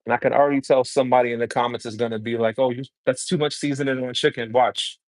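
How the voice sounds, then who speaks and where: Casual New guy, home cook on a live stream, chatty and relaxed, mid-pitched voice, dry close sound. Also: fast, no room sound. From New York City, Brooklyn. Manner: easygoing, chatty, answers viewer comments while cooking. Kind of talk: casual livestream cooking chat.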